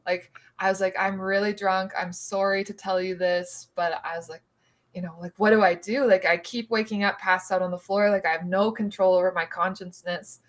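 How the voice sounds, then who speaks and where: A woman's voice: medium pitch, steady tone, moderate pace. A woman, telling a story on a podcast, filling her talk with "like". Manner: chatty, lots of "like" fillers. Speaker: podcast co-host. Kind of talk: casual storytelling chat.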